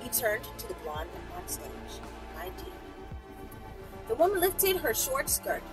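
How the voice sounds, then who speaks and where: A woman's voice: on the high side, very animated, unhurried, far from the mic, over music. A woman reading a story aloud in a dramatic style, with playful, teasing asides to her listeners. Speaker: storyteller, channel host.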